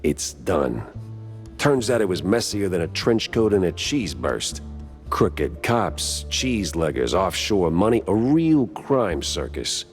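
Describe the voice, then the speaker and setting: A hard-boiled cartoon mouse detective with a very low, gruff American voice. He talks slowly, in a wry, laconic noir manner, tired but dogged, with music underneath. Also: big pitch swings, heavily acted. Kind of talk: 1930s noir detective acting with brooding voice-over.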